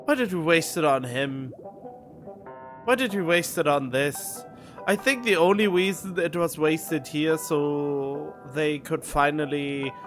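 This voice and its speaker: Streamer reacting to a game, grumbly and disbelieving, swears a bit, quiet music under it. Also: medium pitch. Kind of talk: casual livestream reaction commentary.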